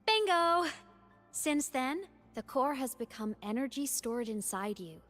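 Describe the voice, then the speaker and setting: Playful, high-voiced ghost girl. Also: big pitch swings, unhurried, a bit bright, faint music behind, heavily acted. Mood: playful, warm. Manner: breezy, casual, teasing. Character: playful digital ghost girl, upbeat, teasing, determined. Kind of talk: video game story voice acting.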